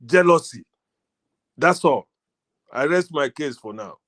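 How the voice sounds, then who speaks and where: A Nigerian man with a fairly high voice, talking informally on a panel. He slides into Pidgin and tells his points as stories, voicing both sides of conversations. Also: expressive, unhurried, a bit bright. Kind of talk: informal opinion and anecdote, mixing in Pidgin.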